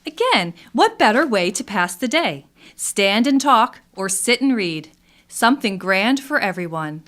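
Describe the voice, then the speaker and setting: An actress playing an enthusiastic town guide in scripted radio theatre, with a theatrical delivery. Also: female voice, mid-range voice, big pitch swings, measured pace, fairly bright tone. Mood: cheerful, curious. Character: enthusiastic woman guide strolling through a river town. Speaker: actor in a community radio play.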